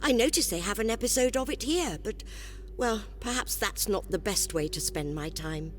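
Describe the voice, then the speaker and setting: Woman playing an animated, curious young space traveller. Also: mid-range voice, normal speed, natural voice. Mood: musing. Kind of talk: audio drama acting. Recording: music behind the voice.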